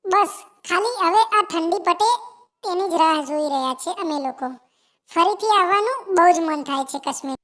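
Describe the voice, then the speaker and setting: A very high, childlike cartoon sparrow, acted by a woman. She is cheerful and eager, gushing in repeated exclamations as she chatters through an animated moral tale. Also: normal speed. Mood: excited. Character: cheerful, eager, chatty female sparrow visiting her sister. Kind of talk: Gujarati animated moral story dialogue.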